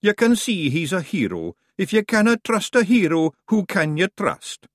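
Man doing a little fairy man, coaxing, high, lively. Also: moderate pace, dry recording, studio quality.

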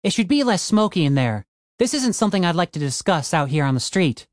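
A man voicing a young male adventurer who speaks in a quiet, measured way. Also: high voice, sing-song, dry recording, clean studio sound, voice pushed up. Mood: earnest. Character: earnest young man adventurer, grieving but determined.

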